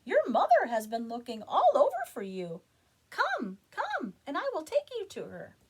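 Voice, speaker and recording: A woman's voice: high-pitched, wildly swooping pitch, moderate pace, darkish tone, voice pushed up. A woman voicing a bustling red and blue bird, exclaiming urgently in a storybook reading. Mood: excited.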